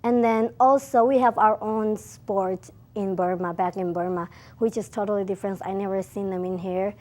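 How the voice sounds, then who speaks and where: Soft-spoken young woman. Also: mid-range voice, fairly even intonation, moderate pace. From Myanmar, Chin State. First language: Chin. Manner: soft-spoken, hesitant, many small fillers. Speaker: interview guest. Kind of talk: casual interview answers.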